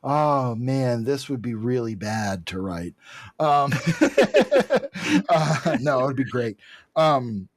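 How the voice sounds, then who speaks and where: A man's voice: mid-range voice, big pitch swings, takes it slowly, pauses often, no room sound, studio quality. A man co-hosting a podcast, casually recapping and commenting on a comic book in a conversational way. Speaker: podcast co-host.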